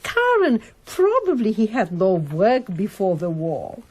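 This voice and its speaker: An earnest, defensive woman in a radio drama. Her husky voice swings widely in pitch as she explains haltingly. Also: wildly swooping pitch, darkish tone, exaggerated voice.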